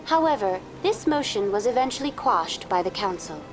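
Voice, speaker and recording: A woman playing a polite, unflappable virtual tour guide program in a video game, speaking in a formal, measured, impersonal way. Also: mid-range voice, sing-song, over music, natural voice. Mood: politely informative. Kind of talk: scripted informational narration in a video game.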